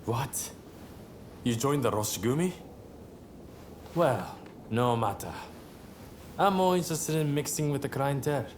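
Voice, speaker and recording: A man's voice: mid-range voice, animated, a little slow, long pauses, slight background noise, lightly acted. A man playing a cocky, flamboyant rebel samurai and gambler in a video game cutscene, with a sardonic, theatrical drawl. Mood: mocking.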